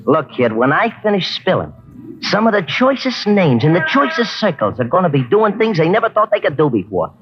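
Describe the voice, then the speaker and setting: An actor playing a cocky, wisecracking convict in a 1940s radio crime drama, sardonic, slangy and swaggering. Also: male voice, high-pitched, very animated, moderate pace. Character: cocky, wisecracking male convict cutting a deal. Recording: dull, noisy old radio recording.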